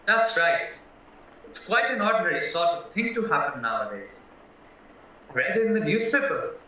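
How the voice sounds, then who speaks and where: A naive, polite young husband acted by a man in an audio play, chatty and earnest as he explains himself. His voice is bright, sharp and lively, heard in a heavily echoing space with a distant mic. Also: a little high, normal speed, slight background noise. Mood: anxious, earnest. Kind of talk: stage-style audio play acting. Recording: very echoey, distant, muffled, music behind.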